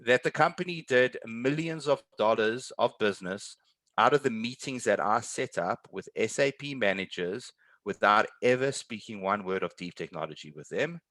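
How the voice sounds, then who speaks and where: Low-pitched man giving emphatic advice. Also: animated, normal speed, a bit bright, no room sound. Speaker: webinar panelist, tech sales professional. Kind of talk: earnest advice in an online panel. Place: online webinar.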